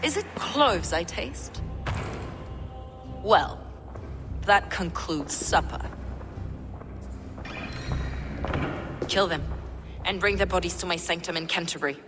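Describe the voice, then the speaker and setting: An actress playing a menacing, zealous villainess in a video game, with a theatrical, mocking courtesy. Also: female voice, on the low side, expressive, long reverb tail, over music. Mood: cold, gloating. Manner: unhurried, theatrical, falsely courteous. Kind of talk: video game cutscene acting.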